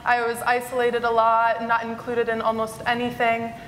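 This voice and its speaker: A woman's voice: a little high, completely flat delivery, moderate pace, fairly bright tone, slight background noise. Upbeat teenage girl in an echoey hall. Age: teens. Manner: chatty, upbeat, laughs often. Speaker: panelist. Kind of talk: panel introduction. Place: conference stage in a large hall.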